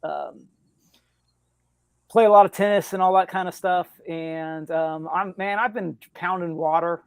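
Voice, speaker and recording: High-voiced, squeaky man. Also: steady tone, a little slow, smooth, no room sound. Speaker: guest author. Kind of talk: casual podcast interview.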